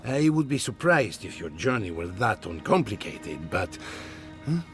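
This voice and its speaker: An actor playing a principled, dryly affectionate scholar mage in a video game, speaking with wry expression. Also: male voice, on the low side, sing-song, unhurried, fairly bright tone, faint music behind. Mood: wry, fond. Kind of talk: scripted video game dialogue.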